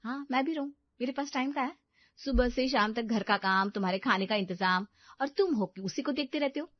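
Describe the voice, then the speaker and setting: A woman's voice: fairly high pitch, a little fast, no echo, highs cut off, voice pushed up, natural voice. A woman voicing an envious, discontented young housewife in a storybook tale, whining and exclaiming with self-pity. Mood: accusing.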